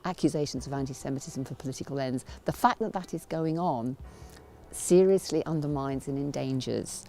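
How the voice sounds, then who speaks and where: A woman giving personal testimony as an activist, speaking in a grave, deliberate manner. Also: rumbling low, dark tone, slight background noise.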